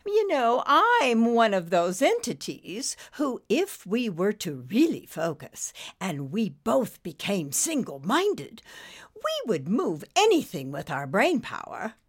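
A woman's voice: a little high, wildly swooping pitch, takes it slowly, voice pushed up, heavily acted. A woman voicing a stately, theatrical lady snake with a posh British accent and a gushing, melodramatic manner in a story. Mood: effusive. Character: stately, theatrical lady snake, flustered, charming and self-pitying.

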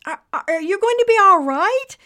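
A woman voicing a friendly, curious female painter in a children's story, expressive and full of exclamation. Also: very high voice, normal speed, pitched above the performer's own voice, full character voice. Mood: excited, concerned.

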